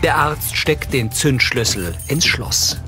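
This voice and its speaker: A man reading scripted audio description for a TV crime drama, matter-of-fact and clearly articulated. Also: medium pitch, lively intonation, takes it slowly. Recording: TV soundtrack playing underneath. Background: loud music and vehicle sounds.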